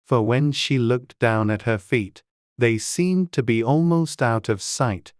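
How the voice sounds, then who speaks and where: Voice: medium pitch, slow, darkish tone, no echo, clean studio sound. A narrator reading a children's storybook as a scripted audiobook.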